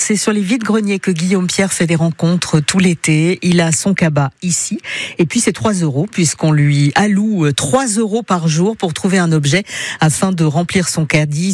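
A woman's voice: lowish voice, normal speed, darkish tone, dry recording. A woman presenting on local radio, reading scripted announcements and a contest question from a studio. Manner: smooth, announcing tone. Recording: dry studio sound.

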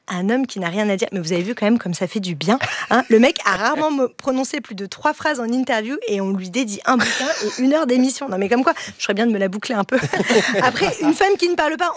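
Une femme originaire de Lorraine lit une chronique humoristique en studio de radio. Elle parle sur un ton moqueur, ironique et autodérisoire. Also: female voice, a little high, dry recording. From Lorraine, France. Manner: teasing, ironic, self-mocking. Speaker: comedy columnist. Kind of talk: scripted comic chronicle.

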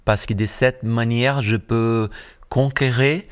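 A man's voice: mid-range voice, fairly even intonation, slow pace, clear, resonant tone. Middle-aged Brazilian male guest, Portuguese-accented French, hesitant and explanatory. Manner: searching for words, many hesitations and restarts. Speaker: musician guest. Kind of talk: radio interview.

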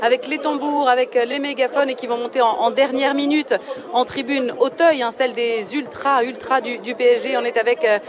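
A woman reports live for radio from outside a stadium and interviews fans there. She talks fast with a slightly high voice, and a clear crowd fills the background. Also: fairly even intonation. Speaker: field reporter.

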